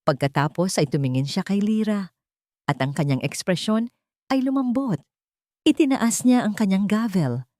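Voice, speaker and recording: A woman's voice: medium pitch, lively intonation, normal speed, warm tone, no room sound, studio quality. A woman with a Filipino accent reading a scripted, dramatic love story as its narrator.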